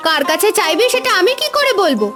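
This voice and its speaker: A woman voicing a stingy, mean village aunt in a cartoon, scolding in a rapid stream. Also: very high-pitched, very animated. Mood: hostile scolding. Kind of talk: cartoon voice acting. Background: music.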